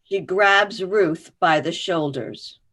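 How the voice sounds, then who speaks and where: A woman's voice: on the low side, slow, fairly bright tone. A woman reading scripted stage directions as narrator for a play reading.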